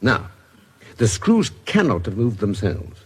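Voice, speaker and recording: Older British gentleman sleuth, low and very husky, coolly curious, exacting, for radio drama. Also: big pitch swings, full character voice. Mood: assured, exacting. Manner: measured, precise, deliberating aloud. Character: keen, methodical older gentleman detective doctor, coolly curious. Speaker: forensic investigator.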